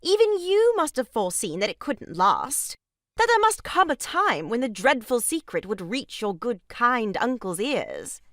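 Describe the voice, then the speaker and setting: Prim scolding lady, highish voice that swoops about, old stage comedy style. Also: sing-song, a little slow, a bit bright, no room sound, studio quality. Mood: shocked, probing. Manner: prim, reproving, emphatic. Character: prim, moralising, disapproving respectable married lady. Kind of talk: theatrical period farce audio drama acting.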